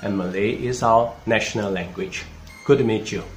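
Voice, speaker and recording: A man's voice: fairly low pitch, normal speed, a little hiss or hum. A man from Singapore giving a friendly self-introduction to camera and explaining his country's languages.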